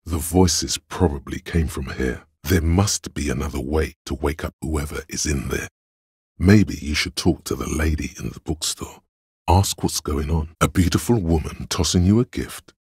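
An actor playing a calm, analytical inner voice of logic in a video game, gravelly and husky, speaking in a dry, measured, knowing way. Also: male voice, extremely low pitch, no echo, heavily acted. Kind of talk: video game voice-over narration.

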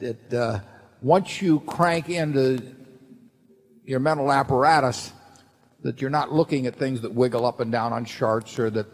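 A man's voice: mid-range voice, a little mellow. An older man seated at a table on a large stage, answering shareholders' questions off the cuff in a folksy, rambling way with many restarts. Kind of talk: unscripted answer at a shareholder meeting. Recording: long hall reverb from the PA.

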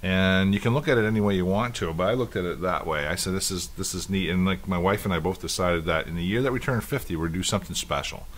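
A man's voice: deep voice, fast talker, hardly any pauses, slight background noise. A man in his fifties co-hosting a casual podcast chat, telling long rambling stories with many restarts. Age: 50s. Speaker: podcast co-host.

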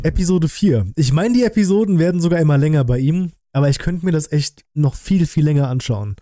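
Dark, mellow-voiced male streamer. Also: a little high, fairly quick, no echo, soft background music. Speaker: streamer hosting a reaction stream. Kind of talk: casual livestream chat. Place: small indoor home streaming room. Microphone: close desk microphone on an arm.

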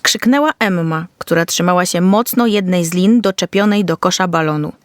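A woman's voice: fairly low pitch, normal speed, dry recording, studio quality. A woman storyteller reading a scripted fairy tale for children.

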